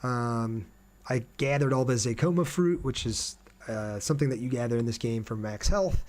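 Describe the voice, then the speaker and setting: A man's voice: medium pitch, normal speed, a little mellow. Casual male game streamer chatting. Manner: reads viewer comments aloud and answers them. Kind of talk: casual livestream chat over gameplay.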